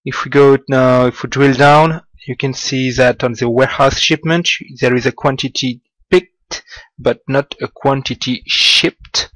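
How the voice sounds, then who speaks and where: Slow, halting man demoing software. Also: mid-range voice, fairly even intonation, dry recording, rough recording. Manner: slow, halting, with non-native grammar slips. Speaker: software demonstrator. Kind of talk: screen-recorded software walkthrough.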